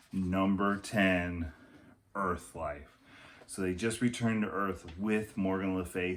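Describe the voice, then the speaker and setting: A man narrating a storybook for children in the manner of a teacher reading aloud. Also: fairly low pitch.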